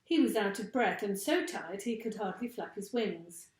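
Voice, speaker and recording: A woman teacher reading a children's story aloud with expressive narration. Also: medium pitch, normal speed. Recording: echoey room.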